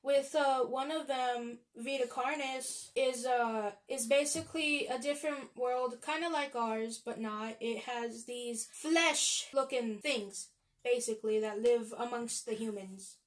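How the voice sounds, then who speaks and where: A woman's voice: fairly high pitch, steady tone, unhurried. A young woman co-hosting a podcast, explaining things casually in a rambling way full of "like" and "basically". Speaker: podcast host. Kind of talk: casual explanatory chat among friends.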